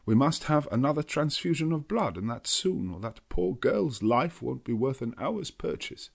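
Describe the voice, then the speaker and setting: A man's voice: big pitch swings, normal speed, vocal fry, breathy edge, exaggerated voice. A man voicing an elderly, earnest, fatherly professor, speaking in an emphatic, rising voice. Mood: urgent. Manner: emphatic, rising to high pitch.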